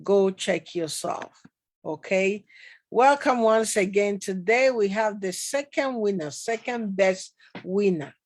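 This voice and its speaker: Woman talk show host, mid pitch, chatty, loose and warm-up heavy. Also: expressive, takes it slowly. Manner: chatty, repeats welcomes, many fillers. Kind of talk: casual interview hosting.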